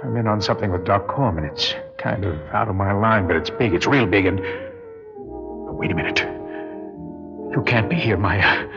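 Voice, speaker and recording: Jittery guilty burglar, slangy noir radio drama, low pitch, music underneath. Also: male voice, huge pitch swings, measured pace, gravelly, pauses often, full character voice. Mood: panicked. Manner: nervy, hard-boiled, slangy. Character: jittery guilt-ridden male burglar narrating his own crime. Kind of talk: radio drama acting with first-person noir narration. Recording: muffled vintage radio recording, music behind.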